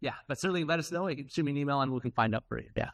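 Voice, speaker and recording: A man's voice: mid-range voice, rapid-fire, vocal fry, dry recording, studio quality. A man presenting at a technical conference in an informal, conversational way, with casual asides. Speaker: conference presenter, software developer.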